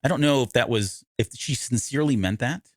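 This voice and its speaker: A man's voice: mid-range voice, brisk, dry recording, studio quality. A man co-hosting a podcast, talking through a story casually and often correcting himself as he explains. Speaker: podcast co-host. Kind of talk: casual discussion of an audio drama.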